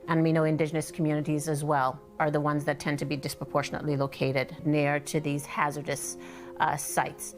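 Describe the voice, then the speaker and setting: A woman giving measured, articulate explanations as a documentary interviewee. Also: sits low, flat delivery. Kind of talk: documentary interview commentary on environmental racism. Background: instrumental music.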